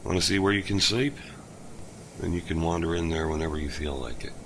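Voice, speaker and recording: An actor playing a kind, lonely older father who lost his son, speaking gently with halting pauses. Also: deep voice, a little hiss or hum. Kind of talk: audio drama acting.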